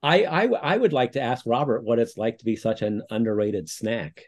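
A man's voice: mid-range voice, moderate pace, a little mellow. A man, a writer who does copywriting, chatting on a podcast in a bashful, giggly and playful way. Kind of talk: casual podcast chat.

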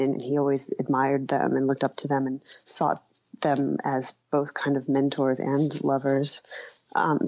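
A woman giving conversational interview answers as an author, thoughtful and halting, often rephrasing mid-sentence. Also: deep voice, creaky, a little mellow, dry recording, muffled recording.

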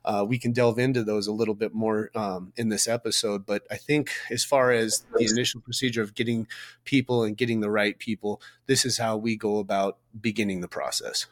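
A man with a loud voice co-hosting a podcast, talking in an emphatic, blunt way and swearing for effect. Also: fairly low pitch, steady tone, fairly quick. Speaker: podcast co-host. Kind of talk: casual podcast discussion.

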